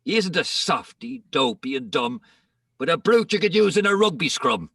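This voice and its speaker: A man's voice: high-pitched, very animated, moderate pace, gravelly, a bit bright, no room sound, exaggerated voice. A man playing a boastful old man pleading before a court, in a declamatory dramatic reading of comic verse, recorded dry and slightly distant. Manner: declamatory, rhythmic, emphatic.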